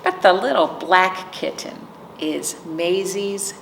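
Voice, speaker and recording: A woman's voice: fairly low pitch, takes it slowly, gravelly. A woman hosting storytime, reading picture books aloud to young children with simple explanations and questions. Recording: roomy, distant mic, faint noise.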